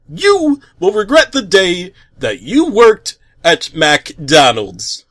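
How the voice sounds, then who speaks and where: A man reading as a shrill unhinged letter writer. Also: fairly bright tone, no room sound, heavily acted. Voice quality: high shrill ranting.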